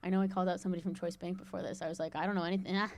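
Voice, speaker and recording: A woman's voice: on the low side, flat delivery, rapid-fire. A woman hosting a live audience Q&A, bubbly, chatty and self-deprecating. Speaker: event host.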